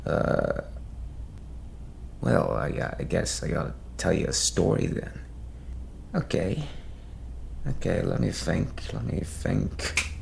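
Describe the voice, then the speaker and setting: A man playing a reluctant, folksy storyteller who narrates in a halting, informal way in an audio drama. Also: low voice, lively intonation, vocal fry, a little hiss or hum, full character voice. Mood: hesitant, musing. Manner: halting, informal, plain-spoken. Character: reluctant, folksy male storyteller retelling a Christmas tale. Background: music.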